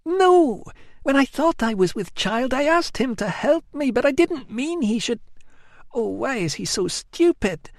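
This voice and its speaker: A spoiled young woman from a historical storybook, pleading and complaining in breathless, tearful bursts. Her voice sits very high and leaps about wildly. The recording is dry and close. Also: big pitch swings, measured pace, no room sound, exaggerated voice. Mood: self-pitying. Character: whiny self-pitying young Roman woman. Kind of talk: audiobook acting.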